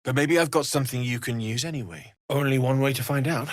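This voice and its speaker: An actor playing a kindly, earnest young blacksmith in a fantasy video game, with a husky, breathy voice and an understated manner. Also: male voice, mid-range voice, fast, natural voice. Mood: earnest, helpful. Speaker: blacksmith and trader. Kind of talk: video game character dialogue.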